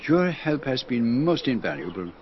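An actor playing an astute, theatrical Belgian detective with a French accent, speaking formally and precisely in a radio drama. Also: male voice, mid-range voice, sing-song, moderate pace, lo-fi sound, natural voice. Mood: gently explaining. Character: astute, theatrical Belgian male detective, fussy and gently teasing.

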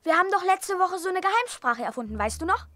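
An actor playing a naive, cheerful middle-school boy in a German audio drama, guileless and excitable in manner. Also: very high voice, fast, soft background music, heavily acted. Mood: matter-of-fact.

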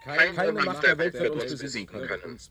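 An actor playing a pompous, fanatical propaganda orator, declaiming in in a radio play. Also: male voice, mid-range voice, very animated, moderate pace, lightly acted. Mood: fanatical, triumphant. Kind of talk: political speech in a German radio play. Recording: loud crowd behind the speech.

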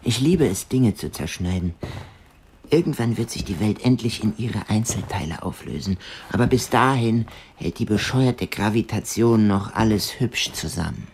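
An actor playing a dreamy, obsessive young eccentric musician in a radio drama, half-whispering in a breathy, intimate voice. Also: male voice, fairly low pitch, animated, normal speed, darkish tone, a little hiss or hum. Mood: quietly morbid.

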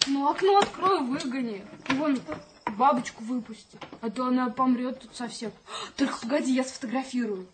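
A woman's voice: sits high, moderate pace, background noise, natural voice. An actress playing a breezy, dreamy young expectant mother in a radio play, with lilting, sighing exclamations. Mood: sleepy, easygoing. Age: young adult.

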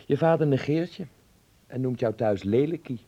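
An earnest teenage boy played by a man in a radio drama, with a husky voice. He is dreamy and defiant, stumbling over words and then pressing his point. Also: mid-range voice, expressive, moderate pace, mellow, lo-fi sound, lightly acted. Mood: insistent. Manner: hesitant stammering, then insistent. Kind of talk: Dutch radio play acting.

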